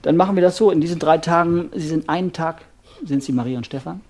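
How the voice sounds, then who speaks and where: Unflappable couples therapist, creaky husky voice, measured, probing, polite, radio play. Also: male voice, on the high side, a little mellow. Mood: brisk, satisfied. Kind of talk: naturalistic improvised-sounding radio play dialogue.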